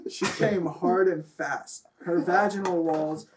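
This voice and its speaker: A man's voice: sits high, fairly even intonation, slow pace, a loud crowd. A man reading fan fiction aloud and chatting casually about it, with a loose, sweary delivery. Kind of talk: reading a story aloud, then casual chat.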